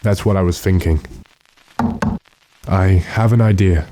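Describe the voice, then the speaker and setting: A man performs a resolute gentleman vampire hunter from an old radio horror play. His voice is very low and mellow, with a deliberate, authoritative delivery that takes command of everyone around him. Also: no echo, low-quality recording. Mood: calm, probing. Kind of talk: classic radio horror drama acting.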